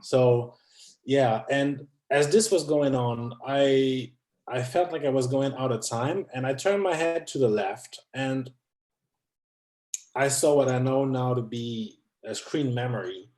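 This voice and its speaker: A man's voice: mid-range voice, slow, darkish tone, reverberant. A man in his thirties, a non-native English speaker from France, talking as a guest in a hesitant way with many restarts. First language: not English. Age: 30s. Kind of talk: conversational interview.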